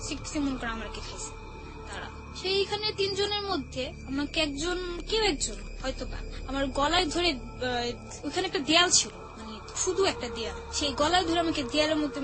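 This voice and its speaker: Music plays clearly behind a high-pitched young woman with a Bangladeshi Bengali accent. She recounts her own experience as a guest. Her delivery is tearful and halting. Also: low-quality recording. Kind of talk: personal testimony. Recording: music under the voice. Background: background music.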